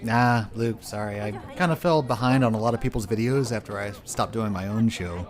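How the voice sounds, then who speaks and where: A man playing an easygoing, matter-of-fact family man with a casual deadpan delivery in a comedy web drama. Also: on the low side, fairly quick, lightly acted.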